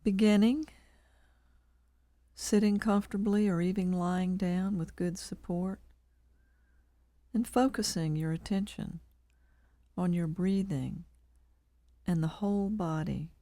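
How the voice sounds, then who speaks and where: Woman, meditation guide, soft-edged mellow tone, very slow pace, calm, measured, long pauses. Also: on the low side, dry recording. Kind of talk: guided body scan meditation.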